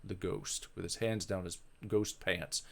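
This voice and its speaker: A man giving casual, deadpan, offhand commentary as he reads a visual novel aloud. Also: deep voice, measured pace, creaky. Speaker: host reading a game aloud.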